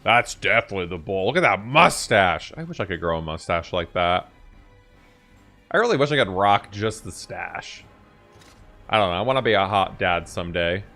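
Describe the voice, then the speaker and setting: A man's voice: low-pitched, expressive, moderate pace, bright, forceful tone, faint music behind. A young man giving casual reaction commentary as host of a video game channel.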